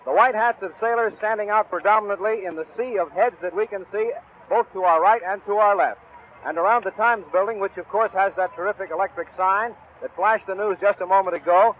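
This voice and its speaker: Male American live reporter, 1940s commentary, high voice, descriptive flow, dull archival audio with faint noise. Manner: descriptive, fluent, formal address to listeners. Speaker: radio reporter. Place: outdoors on a hotel balcony. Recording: muffled, faintly noisy 1940s outdoor broadcast.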